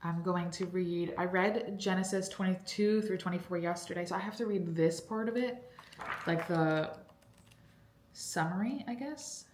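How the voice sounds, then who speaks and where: A young woman talks casually to her viewers, rambling with plenty of fillers. Her voice sits a little low and sounds congested. The room around her is echoey. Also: measured pace, a little mellow, a little hiss or hum. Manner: chatty, rambling with many fillers. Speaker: book vlogger. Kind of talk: casual vlog chat. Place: cold basement room at home.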